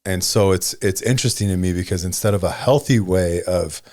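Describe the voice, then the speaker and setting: Deep-voiced man in his forties chatting. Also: fairly quick, a little mellow, no room sound, clean studio sound. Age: 40s. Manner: thinking aloud, frequent "right?" and "you know". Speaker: podcast co-host. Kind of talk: casual conversational podcast.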